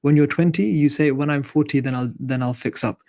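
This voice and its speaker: Earnest male radio preacher, steady monotone delivery. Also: fairly high pitch, brisk. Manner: earnest, repetitive, builds points in lists. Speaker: radio presenter giving an Islamic talk. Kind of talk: live radio sermon.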